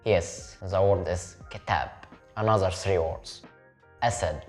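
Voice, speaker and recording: A man with a very low voice teaches Arabic to beginners in English, his own first language being Arabic. He speaks slowly and says each word twice so the learner can repeat it. Soft music plays faintly underneath. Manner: repeats words slowly for the viewer to copy. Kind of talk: slow step-by-step language lesson.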